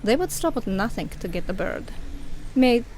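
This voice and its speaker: A woman's voice: fairly high pitch, sing-song, moderate pace, darkish tone, a little hiss or hum, poor audio. Woman playing a prim, scheming gunwoman. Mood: prim menace. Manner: formal, prim, threatening. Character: polite but scheming woman with a pistol.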